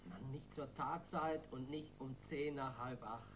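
An actor playing a defiant, sullen street gang boss under questioning in a radio crime play, with slangy speech. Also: male voice, mid-range voice, fairly even intonation, unhurried, noisy background, close to an everyday voice. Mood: defensive.

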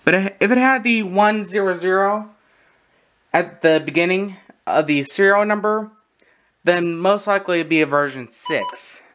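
A person giving a casual, halting, matter-of-fact show-and-tell narration, recorded on a handheld camera in a room at home. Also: medium pitch, fairly bright tone. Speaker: video creator showing a radio collection. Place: small room at home, indoors. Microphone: handheld camera close by.

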